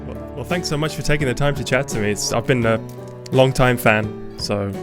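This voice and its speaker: A man's voice: medium pitch, normal speed, music in the background. A man hosting an interview podcast, introducing his guests and asking friendly questions. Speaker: podcast host.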